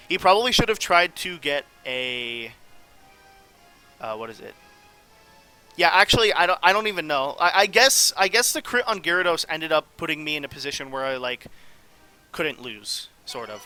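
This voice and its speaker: A man with a slightly high, bright and forceful voice hosts a gaming video. He talks casually and analytically, rambling through his thoughts as he goes, with faint music behind him. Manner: rambling, analytical, thinking aloud. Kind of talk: casual off-the-cuff game commentary.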